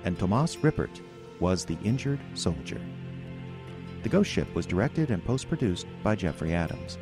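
A man's voice: sits low, big pitch swings, moderate pace. Male announcer over music. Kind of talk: scripted closing credits read.

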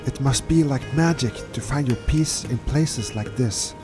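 A man of middle pitch narrates his sailing trip in a steady, measured voice-over. His phrasing is slightly non-native, and music plays clearly beneath him. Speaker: solo sailor narrating his voyage.

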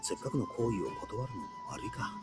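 A man voicing an easygoing young man in a game, dry and relaxed, with clear game music behind him. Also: fairly low pitch, racing, natural voice. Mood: wry. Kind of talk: Japanese game voice acting.

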